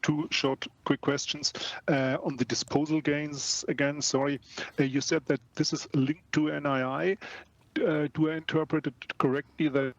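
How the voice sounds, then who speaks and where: Przytłumiony, suchy męski głos z telekonferencji. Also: male voice, a little high, flat delivery, moderate pace, dry recording. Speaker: bank analyst asking questions. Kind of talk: polite question on an earnings call. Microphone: remote call connection. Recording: dull, band-limited call line.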